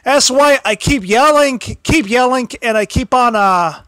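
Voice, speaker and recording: Very high-pitched man with a tired, strained voice. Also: measured pace, no echo, clean studio sound. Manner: apologetic, stumbles and repeats words, pushes voice louder. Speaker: livestream host. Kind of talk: casual wrestling commentary livestream. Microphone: built-in device mic, no external microphone.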